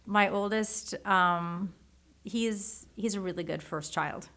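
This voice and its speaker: A woman in her forties whose first language is Japanese, talking as an author in a casual interview, animated and often restarting her sentences. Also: medium pitch, slow pace. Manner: animated, many restarts and self-corrections. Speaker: guest, author.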